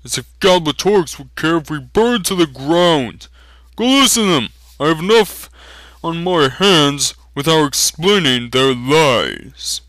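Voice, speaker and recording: A man's voice: on the high side, sing-song, unhurried, a bit bright, no room sound. A man playing an exasperated, sarcastic sceptic in a dramatic fantasy reading. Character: indignant, exasperated man dismissing royal propaganda.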